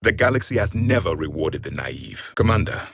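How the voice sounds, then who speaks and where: An actor playing an ancient alien warrior in a video game, the grim and scornful last of his race, with a husky, raspy voice and stern, haughty, formal phrasing. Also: low-pitched, big pitch swings, moderate pace, a bit bright, slight background noise. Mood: grim, disdainful. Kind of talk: video game character voice lines.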